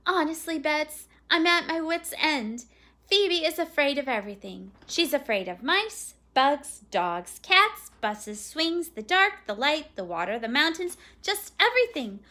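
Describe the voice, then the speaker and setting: Storybook role: frazzled mother played by a woman venting to a friend, emphatic, high-pitched, swooping intonation. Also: big pitch swings, measured pace, sharp tone, full character voice. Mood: exasperated. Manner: emphatic, listing complaints. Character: exasperated mother confiding in a friend.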